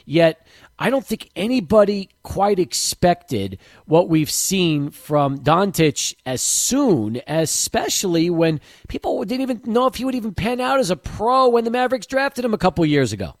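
A man's voice: sits high, sing-song, normal speed, no room sound, clean studio sound. A man hosting a sports talk radio show, eagerly setting up long questions for his guest.

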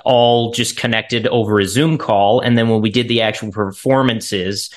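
A man's voice: lowish voice, steady tone, moderate pace. A man, an actor being interviewed, explaining things in a casual, straightforward way.

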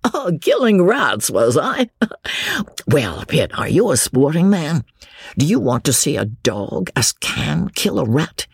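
A narrator voicing a tipsy young English gentleman student, boastful and jovial, rambling in slang and laughing at himself. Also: medium pitch, big pitch swings, slow pace, creaky, slightly rough, dry recording, studio quality, heavily acted.

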